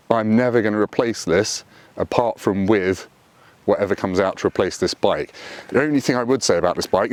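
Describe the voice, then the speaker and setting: A man, chatting casually to camera from a roadside lay-by, dry and self-deprecating. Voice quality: deep voice. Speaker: motorcycle vlogger. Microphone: camera on tripod.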